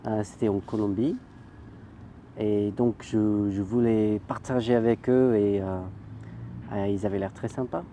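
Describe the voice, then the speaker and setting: A man's voice: on the low side, fairly even intonation, unhurried, dark tone, slight background noise. A man who grew up in the United Kingdom, interviewed casually, speaking hesitantly. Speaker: guest.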